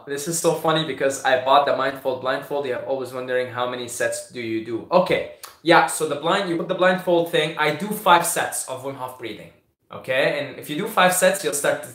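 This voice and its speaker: A man's voice: on the high side, a bit bright. A young man originally from Syria, hosting a casual live question-and-answer stream, who often repeats his words and talks through fillers and restarts. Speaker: host answering viewer questions. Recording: echoey room.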